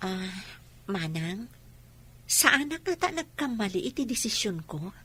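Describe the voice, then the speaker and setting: A woman's voice: mid-range voice, very animated, measured pace, sharp tone, husky, dry recording, heavily acted. A woman playing an affectionate young woman being courted, acted in the style of a radio comedy drama. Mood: earnest, hesitant.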